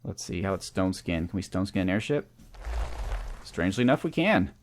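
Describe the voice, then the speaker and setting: Low-voiced man doing casual game commentary. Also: sing-song, fairly quick. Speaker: let's play host. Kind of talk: casual gameplay commentary, thinking aloud.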